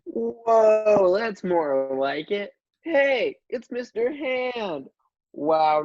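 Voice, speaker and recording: A woman's voice: on the high side, darkish tone, dry recording, lo-fi sound, pitched above the performer's own voice, exaggerated voice. A woman voicing a wisecracking, easily startled baby boy in a comedic radio play. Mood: dazed, amazed. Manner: exclamatory, wry, repeats words.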